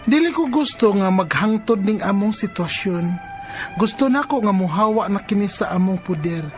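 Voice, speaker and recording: An actress with a Cebuano Filipino accent playing a distressed, betrayed live-in wife in a radio drama. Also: female voice, mid-range voice, close to an everyday voice.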